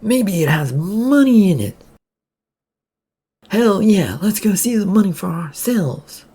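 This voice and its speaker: A nosy, excitable onlooker acted by a narrator calls out loudly in a raised, husky voice, as a storybook character. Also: medium pitch, slow, pitched above the performer's own voice, exaggerated voice. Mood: greedy excitement. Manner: calling out loudly. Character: excitable, nosy bystanders shouting from a crowd.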